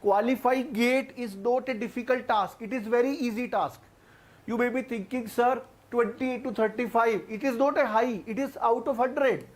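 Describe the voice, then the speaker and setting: A man's voice: extremely high pitch, fairly even intonation, moderate pace. A young man with an Indian English accent teaching an online exam-prep class, explaining step by step and often repeating himself. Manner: explains step by step, repeats phrases, addresses students as guys.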